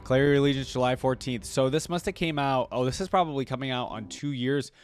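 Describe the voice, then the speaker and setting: A man's voice: mid-range voice, quick pace, smooth. A man hosting a reaction video, rambling conversationally and musing aloud in casual commentary. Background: game music.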